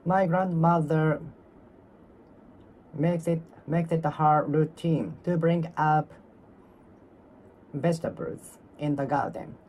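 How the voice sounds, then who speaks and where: A speaker from Japan with a Japanese accent, practicing English alone, repeating and reworking each sentence in a careful, halting way. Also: medium pitch, fairly even intonation, muffled recording. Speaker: English learner. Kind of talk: solo speaking practice, talking to oneself.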